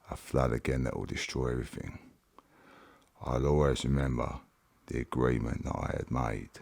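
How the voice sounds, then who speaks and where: A man with a Cockney accent voicing God as a cheerful male deity, reading softly and unhurriedly in ASMR style. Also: a bass voice, creaky, dry recording, exaggerated voice.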